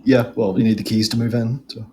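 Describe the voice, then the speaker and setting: A man's voice: mid-range voice, steady tone, brisk, a little mellow. A man chatting and joking with friends on a gaming voice call, swearing casually. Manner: joking, swearing, laughs often.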